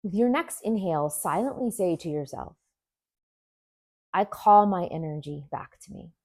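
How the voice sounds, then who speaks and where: A woman teaching yoga nidra, leading a guided meditation in a gentle, soothing manner with deliberate pauses. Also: on the low side, animated, dry recording.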